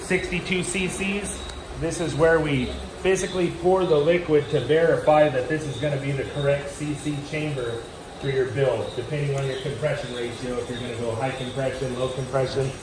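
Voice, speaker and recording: A man's voice: mid-range voice, fairly even intonation, normal speed. Man giving a tour in an echoey workshop. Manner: explanatory, points things out to a group. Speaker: shop tour guide. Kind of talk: walking shop tour explanation. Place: large indoor machine shop. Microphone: handheld camera from a few feet away. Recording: echoey shop with loud machine and air noise. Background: machinery and ventilation hum.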